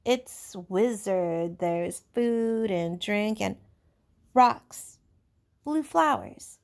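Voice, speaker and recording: A woman's voice: mid-range voice, lively intonation, drawn-out words, vocal fry, full character voice. A woman voicing an earnest, fair-minded schoolboy leader in a storybook, with emphatic speech-making. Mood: upbeat, reassuring. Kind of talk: storybook character voice.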